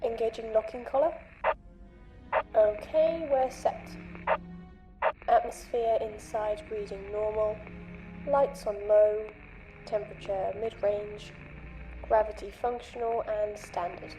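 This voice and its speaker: A focused spaceship technician acted by a woman reads out status checks slowly and methodically. Her phrases are short and clipped, with music clearly behind in this sci-fi comic dub. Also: mid-range voice, lightly acted, low-quality recording. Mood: focused, procedural. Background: prominent music.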